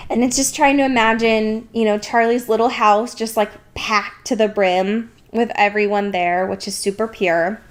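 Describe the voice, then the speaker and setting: A woman's voice: on the high side, clean tone. A woman podcast host casually recapping a book in a sardonic, informal, sweary manner.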